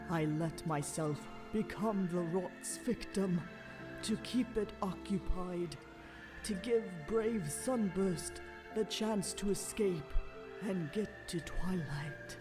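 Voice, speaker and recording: A zebra being eaten away by rot in an audio drama. The voice rasps and wheezes, dragging slowly through labored pleas. It sounds set back from the mic, with prominent music behind. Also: female voice, lowish voice, recorded from a distance. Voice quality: raspy, wheezing whisper. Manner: halting, slow, labored. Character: dying zebra possessed by rot, pleading and rasping.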